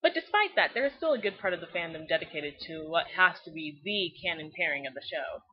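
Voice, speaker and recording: A woman giving scripted but casual fan commentary in a blunt, slangy, opinionated way, with animated delivery. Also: lowish voice, fast talker, slightly rough. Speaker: fan video series host. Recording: slightly dull lo-fi recording.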